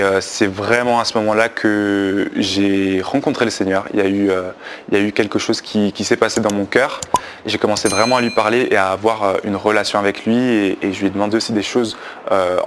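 A man in his twenties with a fairly low voice tells his own story without a script. He speaks haltingly and searches for words. Some background noise sits faintly behind him. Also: a bit bright. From Belgium. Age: 20s. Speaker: guest giving a personal testimony. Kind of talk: unscripted personal testimony.

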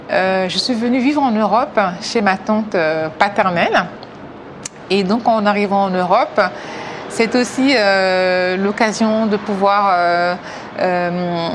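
A woman in her thirties from Africa, giving a personal faith testimony hesitantly, with many pauses. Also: medium pitch, noisy background. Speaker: testimony speaker.

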